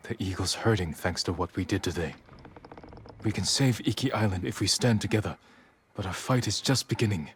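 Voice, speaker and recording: An actor playing a guarded, honourable young samurai lord in a dramatic video game, speaking in a measured, earnest way. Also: male voice, lowish voice, a little hiss or hum, lightly acted. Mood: steady resolve.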